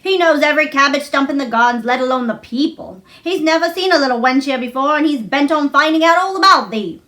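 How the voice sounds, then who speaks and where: Woman voicing a gruff, surly old gardener man. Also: high-pitched, lively intonation, fast talker, bright, forceful tone. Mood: indignant. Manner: grunting, curt dialect speech.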